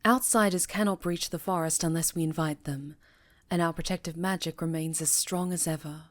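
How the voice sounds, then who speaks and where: A woman playing a stern, commanding witch who leads a coven, speaking formally and with measured authority in an ASMR fantasy roleplay. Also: sits low, lightly acted. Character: stern, commanding female high enchantress leading a witch coven.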